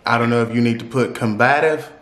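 A man's voice: medium pitch, quick pace, fairly bright tone, echoey room. A man making a casual prank call, rambling and stammering in a brash, profane way. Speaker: prank caller.

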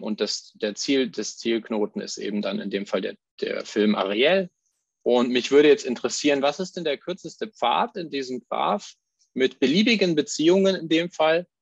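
Man, software demo presenter, gravelly voice, step-by-step explaining, dull recording. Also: mid-range voice, no echo. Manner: explains step by step while clicking, fillers and restarts. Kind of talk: live software demo talk.

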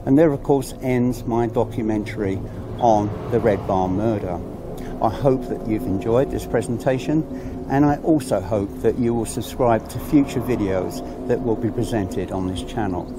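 A middle-aged Englishman presenting a documentary to camera in an outdoor country churchyard, telling the story in a measured, solemn way. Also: lowish voice, over music.

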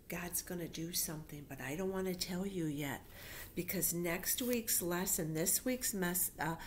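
Middle-aged woman, slightly low voice, very unhurried, children's storyteller, simple patient explanations, deliberate emphasis. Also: a little hiss or hum. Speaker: children's Sunday school storyteller. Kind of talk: reading Bible stories aloud to young children, explaining as she goes. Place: living room at home. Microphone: webcam or laptop microphone at arm's length.

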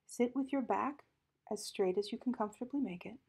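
Female meditation guide, very breathy and soft, mellow tone, calm measured delivery with pauses. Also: on the high side.

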